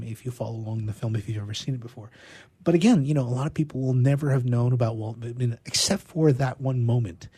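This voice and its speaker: A man with a husky voice, a radio theatre player reading and discussing poetry. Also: mid-range voice, darkish tone. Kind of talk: expressive poetry recitation and casual discussion.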